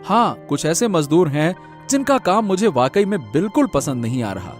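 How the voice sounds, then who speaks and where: Man playing earnest, animated factory manager. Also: on the high side. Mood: agreeable. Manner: respectful, measured, explanatory. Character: earnest, deferential male factory manager, doting father. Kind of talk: cartoon moral-story voice acting. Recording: music behind the voice.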